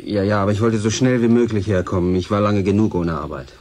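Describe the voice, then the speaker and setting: An actor playing an easygoing, slightly guarded newcomer looking for work in a German radio drama. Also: male voice, on the low side, fast, darkish tone, slight background noise, lightly acted. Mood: polite, guarded.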